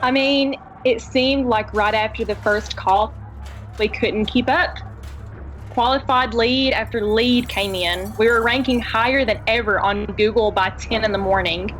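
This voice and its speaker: A woman playing a shaken office worker giving halting, melodramatic eyewitness testimony in a comedic audio drama. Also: fairly high pitch, a bit bright, faint music behind, poor audio. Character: shaken female office employee, overwhelmed disaster survivor.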